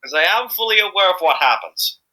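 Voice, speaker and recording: Bright-voiced, animated man rambling in an interview. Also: sits high, a little fast, poor audio. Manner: hesitant, rambling, repeating himself. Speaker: amateur voice actor being interviewed. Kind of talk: casual interview chat.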